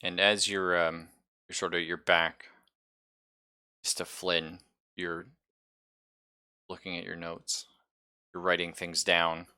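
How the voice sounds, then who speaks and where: Guy game mastering a horror rpg, really low voice but bright and forceful, halting suspenseful delivery. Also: little pitch movement, smooth. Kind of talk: improvised narration of a horror tabletop roleplaying game.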